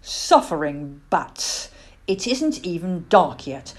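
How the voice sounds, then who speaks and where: A woman's voice: on the low side, very animated, takes it slowly, heavily acted. A woman voicing a sleepy, grumpy father barn owl in a children's story, blustering and pompous. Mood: grumpy, exasperated.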